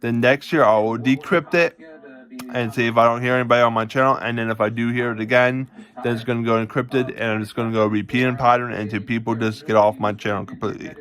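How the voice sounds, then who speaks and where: A man talking casually to camera in a halting, rambling way, often restating himself as he explains things. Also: mid-range voice, fairly even intonation, fairly bright tone. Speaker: self-described police department chief recording a vlog. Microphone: phone.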